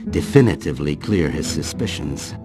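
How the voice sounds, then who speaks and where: An actor playing a cold, calculating villain in a video game cutscene, suave and condescending. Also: male voice, a bass voice, expressive, normal speed, music in the background. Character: cold, scheming villain commanding a female spy.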